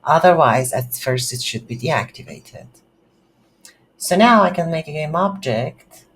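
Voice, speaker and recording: Slow, hesitant tutorial instructor. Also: medium pitch, expressive, fairly bright tone, low-quality recording. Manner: hesitant, thinking aloud in short phrases. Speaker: game development tutorial instructor. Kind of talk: unscripted screen-recorded tutorial narration. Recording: faint background noise.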